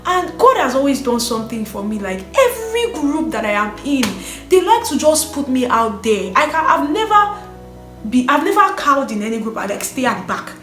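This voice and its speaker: A woman recounts her own life story, earnest and animated. Her voice sits slightly high, and she speaks in an echoey room with faint music underneath. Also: moderate pace. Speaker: vlogger sharing her story. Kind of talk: personal storytelling.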